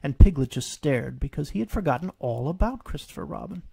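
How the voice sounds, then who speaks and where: A man narrating his own written story in a scripted storybook style, with a gravelly voice. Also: on the high side, animated, fairly quick, dark tone, no echo.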